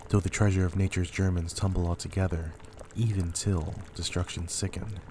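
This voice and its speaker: A man playing a grim, commanding warrior king, speaking gravely and deliberately in a dramatic Shakespeare reading. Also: deep voice, level tone, noisy background, close to an everyday voice. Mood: imperious.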